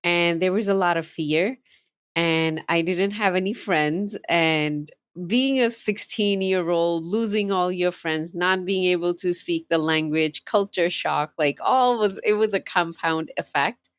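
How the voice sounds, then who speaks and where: A woman's voice: on the low side, a little slow, smooth, dry recording. Upbeat woman from Pakistan, dry sound. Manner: open, upbeat, laughs easily. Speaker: guest, life coach. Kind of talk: interview conversation.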